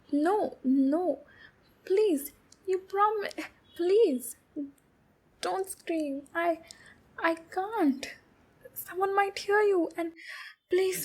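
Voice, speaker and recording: A woman's voice: extremely high pitch, darkish tone, exaggerated voice. A woman voicing a shy, anxious, clingy demon captor in a roleplay ASMR, speaking in a hesitant, pleading and soothing way. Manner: hesitant, halting, pleading, soothing with pet names. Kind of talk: roleplay ASMR, intimate acted monologue.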